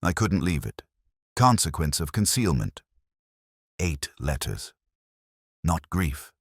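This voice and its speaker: A man narrating a detective story in the first person, with a measured, literary, reflective delivery and a husky voice. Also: extremely low pitch, sing-song, dry recording, clean studio sound.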